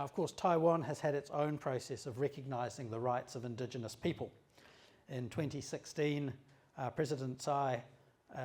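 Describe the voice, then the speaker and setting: Male lecturer, trade office director; measured, halting delivery, middle pitch. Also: darkish tone. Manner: measured, with frequent um and uh pauses. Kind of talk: formal lecture to an audience.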